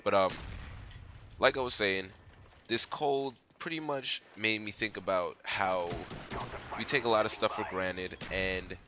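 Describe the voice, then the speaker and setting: A man's voice: on the low side, a little slow, pushed and bright, slight background noise. A young man with a stuffy cold, rambling casually through his gameplay commentary. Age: young adult. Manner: rambling, laid-back, many fillers.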